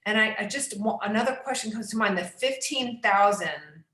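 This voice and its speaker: A New York-born woman at a middle pitch leads the questions after a lecture. She is effusive and rambling, often breaking off to start over, and her voice carries a roomy echo. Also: normal speed, fairly bright tone. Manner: effusive, rambling, restarts mid-sentence. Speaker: executive director hosting the Q&A. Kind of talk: casual Q&A questions.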